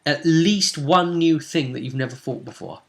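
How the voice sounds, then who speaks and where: A man's voice: a little high, moderate pace, creaky. A man hosting a tabletop role-play vidcast, speaking in casual post-game chat as the game master.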